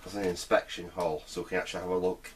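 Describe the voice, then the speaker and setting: Middle-aged male restorer, low-pitched voice, informal workbench commentary, thinks aloud with hesitation. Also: little pitch movement, racing, slight background noise. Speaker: vintage radio restorer, presenter. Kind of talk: casual hands-on repair commentary. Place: indoor workbench.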